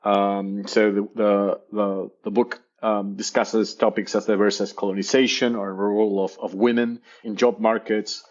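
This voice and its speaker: A man's voice: on the low side, flat delivery, normal speed, hardly any pauses, dull audio. A man with an Argentinian Spanish accent, a native Spanish speaker, talking in a casual interview, enthusiastic and often repeating words as he searches for them. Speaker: guest, professor.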